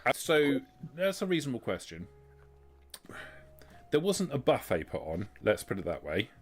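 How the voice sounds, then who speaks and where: A man acting as game master, explaining rules and lore in a measured, detailed way. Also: medium pitch, big pitch swings, soft background music.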